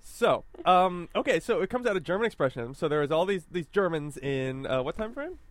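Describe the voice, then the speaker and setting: A man's voice: a little high, big pitch swings, a little fast, dry recording. A man co-hosting a casual podcast, asking questions and joking around, with frequent fillers. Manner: jokey, inquisitive, trails off into fillers. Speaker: podcast co-host.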